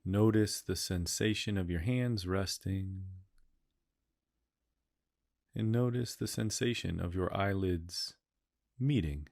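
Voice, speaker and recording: Slow, low-voiced man guiding meditation. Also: gravelly, a little mellow. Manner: slow, soothing, drawn-out phrases with repeated cues. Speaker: meditation guide. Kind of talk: guided meditation.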